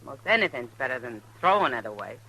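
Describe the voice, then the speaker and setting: Casting: sociable Old West saloon gal, animated and bright, frank, saucy, radio drama delivery. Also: female voice, rumbling low, quick pace, slight background noise, heavily acted, low-quality recording. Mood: relaxed, chatty. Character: worldly, sociable frontier saloon woman, plain-spoken. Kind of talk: old-time radio Western acting.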